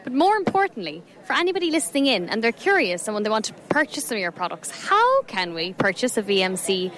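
A woman's voice: a little high, very animated, quick pace, fairly bright tone. A young woman with an Irish accent, a bubbly and effusive radio presenter interviewing stall holders on location at an outdoor show.

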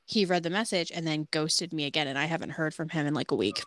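A woman's voice: low voice, quick pace. A woman in her late twenties co-hosting a podcast, chatting casually and bluntly, with a frank, filler-heavy way of talking. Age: 20s. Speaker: podcast co-host. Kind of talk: casual chat.

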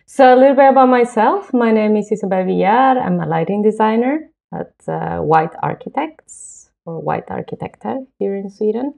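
A woman, talking as an interview guest in a relaxed, thoughtful way with soft laughs. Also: medium pitch, moderate pace, gravelly, hardly any pauses. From southern Chile. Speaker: guest, lighting designer. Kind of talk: casual interview talk. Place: home.

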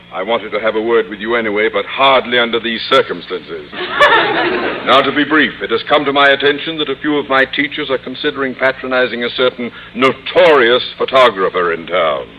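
An actor playing a pompous, irascible middle-aged school principal in an old-time radio comedy, with formal, blustering delivery. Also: male voice, medium pitch, fairly quick, muffled recording, lightly acted. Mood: exasperated, sarcastic. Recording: noisy old radio recording, studio audience.

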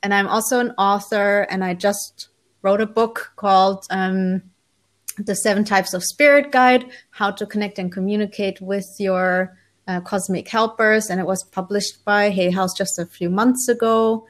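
A woman in her forties, raised in Germany, telling her story in an interview as a spiritual author, relaxed and thoughtful with frequent pauses. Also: mid-range voice. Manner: relaxed, thoughtful, many ums, light laughs.

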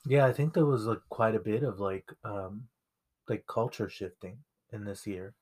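A young man co-hosting a casual talk, with a fairly low voice. He speaks reflectively and loosely, pausing on "like" and "um" as he works through his thoughts. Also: a little mellow. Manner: reflective, rambling with fillers. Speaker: podcast co-host. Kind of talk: casual conversation.

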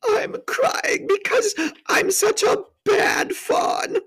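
A narrator voicing a male faun, a guilt-ridden, self-pitying woodland creature, crying as he speaks in drawn-out wails. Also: extremely high pitch, lively intonation, a bit bright, pitched above the performer's own voice, heavily acted. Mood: tearful wailing. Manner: wailing, drawn-out, self-reproaching.

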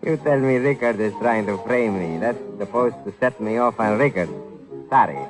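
An actor playing a surly, wary, scheming crook in an old radio crime drama, with a gruff, clipped manner. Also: male voice, mid-range voice, moderate pace, over music, close to an everyday voice, rough recording. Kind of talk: 1940s-50s radio crime drama acting. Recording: vintage radio drama recording.

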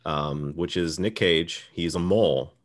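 A man's voice: low-pitched, unhurried. A man hosting a podcast, talking through films in a casual, conversational way. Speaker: podcast host. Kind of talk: casual film discussion.

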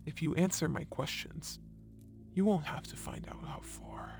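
Woman as a slow, creaky, menacing undead creature. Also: low-pitched, whispered, over music. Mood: smug menace. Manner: slow, threatening. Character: sinister hooded undead creature, coldly menacing interrogator.

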